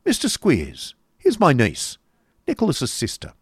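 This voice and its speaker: Man acting a testy, sarcastic old miser, pitched up. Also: lively intonation, moderate pace, dry recording, clean studio sound, close to an everyday voice. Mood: cold, sarcastic. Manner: biting, emphatic, clipped. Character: cold, sarcastic, testy miserly older uncle.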